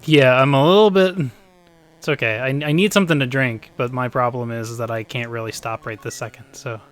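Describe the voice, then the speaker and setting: A man of forty, a bit hoarse, chatting upbeat with viewers while he plays a live speedrun from home. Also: medium pitch, fairly even intonation, a little fast. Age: 40s. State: slightly hoarse. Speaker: speedrun streamer. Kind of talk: live-stream gameplay commentary.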